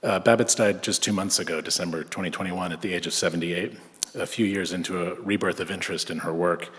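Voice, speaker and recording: A man from Southern California reading an academic conference paper in a measured way, with frequent filler hesitations. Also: deep voice, fairly even intonation, fairly bright tone. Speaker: conference speaker. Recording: echoey lecture hall.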